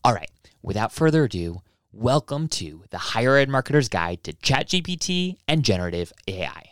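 A man's voice: medium pitch, very animated, normal speed, no room sound, studio quality. A man hosting a podcast, introducing the series and chatting with a guest in an upbeat, rambling way with many restarts. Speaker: podcast host. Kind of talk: casual podcast interview.

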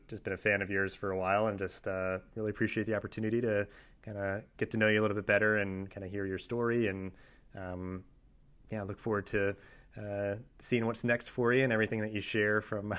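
A man's voice: lowish voice, a bit bright, smooth. A man hosting a podcast interview, chatting loosely with lots of fillers and restarts. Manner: filler-heavy, rambling with many "like" and "uh". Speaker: podcast host. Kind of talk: casual interview chat.

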